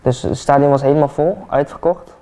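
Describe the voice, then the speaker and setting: An eighteen-year-old man, a football player, chatting relaxed and hesitant with many fillers while getting a haircut in a barbershop. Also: on the high side, brisk. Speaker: football player, interview guest. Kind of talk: casual interview chat. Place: barbershop, indoors, seated in barber chair.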